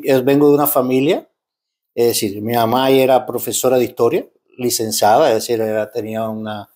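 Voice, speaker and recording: A man's voice: medium pitch, a little mellow. Cuban man mixing Spanish and Portuguese. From Cuba. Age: 50s. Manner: mixes Spanish and Portuguese, frequent restarts and tag questions. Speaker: interview guest. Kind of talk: casual interview talk.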